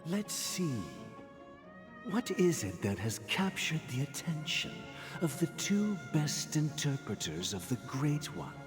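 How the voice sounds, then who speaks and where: An actor playing a gentle, theatrical guardian figure talking to children in a measured, indulgent, storytelling way for a video game. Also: medium pitch, sing-song. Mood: gently curious. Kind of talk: scripted video game voice acting. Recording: long hall reverb under clear music.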